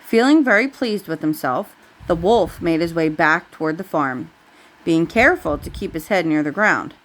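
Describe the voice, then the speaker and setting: A woman's voice: on the low side, animated, moderate pace, rough recording. A woman reading a bedtime story aloud to a child, with casual asides along the way.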